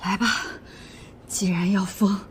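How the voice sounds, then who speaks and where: A woman playing a defiant young employee in an animated short drama, blunt, combative and persuasive. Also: medium pitch, unhurried, noisy background, lightly acted. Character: bold, defiant young female office employee.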